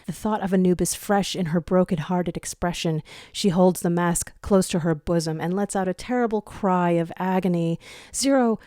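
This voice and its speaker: A woman's voice: fairly low pitch, normal speed, warm tone, no room sound, studio quality. A woman reading a dramatic scripted story as its narrator. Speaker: narrator of a fan fiction audio reading.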